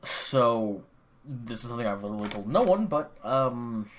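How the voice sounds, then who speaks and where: A man's voice: medium pitch, lively intonation, normal speed, a bit bright, a little hiss or hum. A young man rambling casually at his computer about gaming, swearing often and full of fillers and restarts. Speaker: gaming vlogger.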